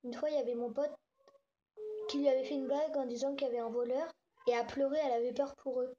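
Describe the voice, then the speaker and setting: A woman's voice: high voice, fairly even intonation, fast talker, dark tone, a little hiss or hum. A young girl chatting casually in slangy, improvised speech, thinking aloud as she makes prank calls. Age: child or young teen. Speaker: host making prank calls. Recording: dull lo-fi home recording.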